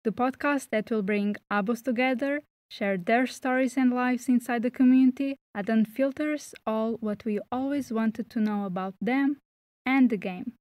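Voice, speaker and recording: A woman's voice: a little high, moderate pace, darkish tone, no echo. A woman from Portugal, hosting a podcast and reading a prepared introduction. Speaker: podcast host.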